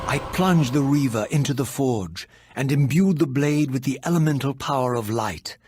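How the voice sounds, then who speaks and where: An actor playing a proud, bitter undead wraith in a video game, speaking in grave, formal, theatrical and measured tones. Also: male voice, medium pitch, a little mellow, breathy edge, lightly acted. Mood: grave, resolute. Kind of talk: dramatic video game narration and dialogue.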